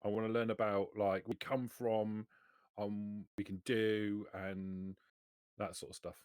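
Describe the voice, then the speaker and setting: A man's voice: lowish voice, level tone, unhurried, a little mellow, clear, resonant tone, dry recording, clean studio sound. A man playing a tabletop roleplaying game, talking casually and improvising as he goes.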